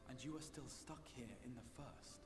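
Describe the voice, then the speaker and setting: An actor playing a solemn, formal male mage summoner in a video game, speaking in a measured way. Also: medium pitch, lightly acted. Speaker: game character. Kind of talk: voiced video game dialogue. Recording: game audio with reverb and music.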